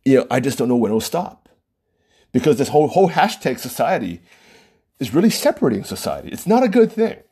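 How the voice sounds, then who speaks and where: A man delivering a one-person opinion commentary. His pitch swings widely as he talks, and his voice sits on the mellow, slightly husky side. He often restarts phrases and keeps asking the listener "right?". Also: fairly quick. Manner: lively pitch swings, frequent "right?" and "you know", self-interrupting restarts.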